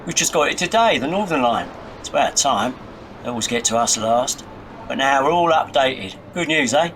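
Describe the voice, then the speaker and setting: An actor playing a polite, chirpy, somewhat awkward railway station worker in an audio drama, hesitant in manner. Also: male voice, medium pitch, lively intonation, normal speed, a bit bright, close to an everyday voice. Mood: helpful, chirpy. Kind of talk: naturalistic audio drama acting. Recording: clear station ambience and train noise.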